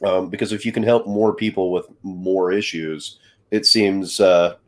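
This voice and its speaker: Low-voiced man with a stutter. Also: fairly even intonation, unhurried. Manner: hesitant, repeating words and trailing off, then laughing lightly. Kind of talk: conversational interview.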